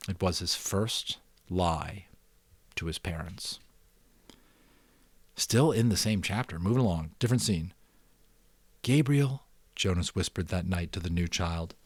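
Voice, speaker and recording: Middle-aged male audiobook host: lowish voice, dry close sound, earnest and expressive storytelling. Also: unhurried, husky, no echo. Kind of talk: dramatic book narration with casual commentary.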